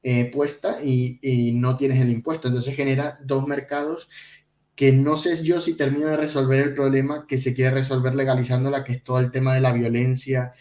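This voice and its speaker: A man talks through financial news as a podcast co-host, in a mid-range voice. He explains conversationally and a bit haltingly, repeating words. The recording is echoey and he sounds some way from the microphone. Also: mid-range voice, flat delivery, a little mellow. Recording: roomy echo, distant from mic.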